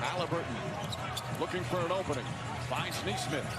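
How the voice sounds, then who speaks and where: A man's voice: on the high side, normal speed, loud music behind the voice. A man calling live basketball play by play in clipped phrases that follow the action. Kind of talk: live sports commentary. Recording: loud arena crowd noise throughout. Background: cheering basketball crowd, bouncing ball.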